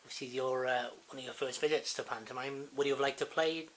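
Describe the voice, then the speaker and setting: A man's voice: mid-range voice, steady tone, fast talker, a little hiss or hum, poor audio. A man interviewing a guest, asking casual, conversational questions.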